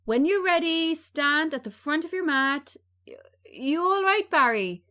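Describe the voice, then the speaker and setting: A woman reading a screenplay, voicing a cheerful female yoga teacher with a bright, encouraging, sunny front. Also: high voice, expressive, a little slow, voice pushed up, exaggerated voice. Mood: cheery, instructing. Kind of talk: screenplay table read.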